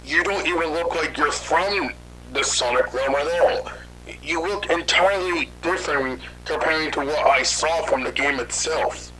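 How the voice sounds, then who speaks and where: A loud crowd-like din sits behind a man acting as a bewildered male doctor in an animated fan drama. His voice is slightly high, earnest and questioning, with articulate phrasing. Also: normal speed, natural voice, rough recording. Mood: puzzled.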